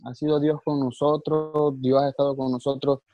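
A man's voice: medium pitch, flat delivery, normal speed, highs cut off, soft background music. A man from El Salvador with a Salvadoran accent, a martial arts coach telling his story earnestly in an interview, often restarting his sentences. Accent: Salvadoran Spanish. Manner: earnest, many restarts and religious asides.

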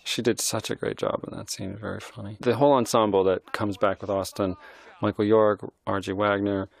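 A middle-aged man, a film director, giving an admiring, halting film commentary. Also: lowish voice, level tone, vocal fry. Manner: thoughtful, admiring, halting restarts.

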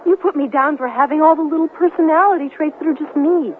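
A woman's voice: sits high, fast, natural voice. An actress playing a hurt but firm young ex-girlfriend in a radio drama, earnest and emphatic. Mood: hurt, accusing. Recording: tinny narrowband radio broadcast with faint noise.

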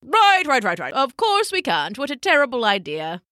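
A woman's voice: sits high, wildly swooping pitch, normal speed, pushed and bright, dry recording, studio quality, pitched above the performer's own voice, heavily acted. A woman voicing a bumbling, sheepish elderly male penguin who talks in alliteration.

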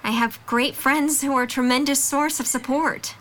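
A woman's voice: high-pitched, fairly even intonation, moderate pace, fairly bright tone, slight background noise. A young woman playing a frank, earnest college student in an audio drama, speaking firmly and with emphasis. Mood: earnest, reflective. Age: young adult. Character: frank, earnest female college student, supportive but fed up. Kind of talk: audio drama dialogue acting.

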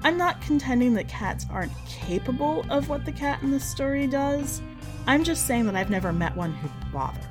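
A woman reading in a measured, expressive way, as a podcast host presenting and narrating short fiction. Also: sits high, music in the background. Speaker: podcast host and story reader.

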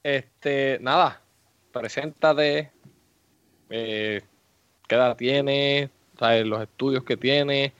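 A man's voice: medium pitch, fairly even intonation, drawn-out words, long pauses, fairly bright tone, clear, resonant tone, no echo. A man hosting a podcast, asking questions in a loose, meandering way full of fillers. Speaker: podcast host. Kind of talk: casual podcast interview. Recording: slightly muffled, faint music behind.

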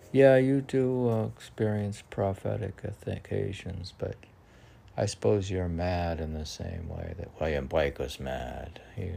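Very deep, gravelly, slow-talking man. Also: dark tone, a little hiss or hum. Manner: musing, self-questioning, halting. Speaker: poet reading and commenting on own writing. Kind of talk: rambling solo monologue mixed with short aphoristic poem lines.

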